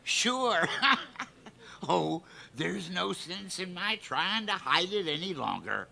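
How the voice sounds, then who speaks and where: Cocky folksy old suitor, very forceful, unhurried, old-time radio comedy. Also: male voice, high voice, very animated, creaky, heavily acted. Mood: eager, sly. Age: older. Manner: folksy, drawling rustic turns of phrase. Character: lovestruck folksy old male custodian, cocky and stubborn.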